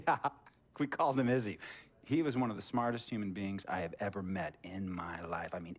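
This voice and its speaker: Male actor voicing a middle-aged tech mogul in a video game, smooth talker, self-satisfied storyteller, middle-of-the-road pitch. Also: lightly acted. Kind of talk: video game cutscene dialogue.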